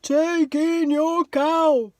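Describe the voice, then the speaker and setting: A wise old man from a storybook, acted out by a woman, calmly giving instructions. He draws out each word very slowly and emphatically, in a dry, close sound. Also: high-pitched, no room sound, voice pushed up. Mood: slow, commanding. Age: older. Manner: slow, emphatic, drawn-out commands.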